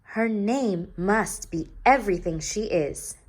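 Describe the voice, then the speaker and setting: Woman as a doting, animated storybook mother. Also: mid-range voice, takes it slowly. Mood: adoring. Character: doting, reassuring storybook mother of a little girl.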